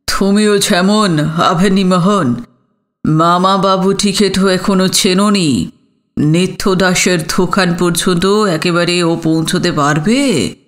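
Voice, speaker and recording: Man acting as affable old village gentleman, high voice, very slow deliberate delivery, fussing, audio drama. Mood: fondly exasperated.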